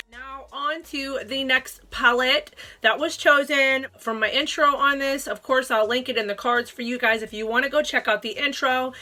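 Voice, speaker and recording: A young woman talks through her makeup project in a friendly, chatty way. Her voice sits a little high and bright, but it comes out raspy and stuffy, as if she is still getting over a sore throat. She laughs easily and apologizes now and then. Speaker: beauty vlogger. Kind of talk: casual makeup chat to camera.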